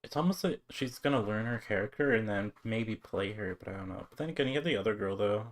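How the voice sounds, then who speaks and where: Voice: medium pitch, animated, quick pace. A game streamer giving casual live commentary and reading text aloud, mumbling and trailing off at times.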